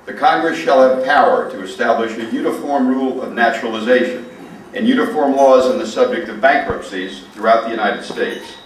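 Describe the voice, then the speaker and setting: A man's voice: medium pitch, fairly quick. A man formally reading a legal document aloud as a guest reader. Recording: very echoey, distant, faint noise.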